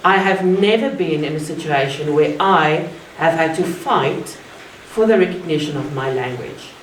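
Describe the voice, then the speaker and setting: A woman from South Africa with an Afrikaans accent, giving an earnest, measured public talk with deliberate pauses. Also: sits low. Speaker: guest speaker. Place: art gallery. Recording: roomy, distant mic, faint ambient noise.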